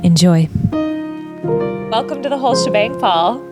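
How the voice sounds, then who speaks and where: A woman's voice: on the high side, animated, normal speed, loud music behind the voice. A woman hosting a podcast in a casual, chatty way, often laughing and saying "like". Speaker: podcast host. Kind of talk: casual conversational interview.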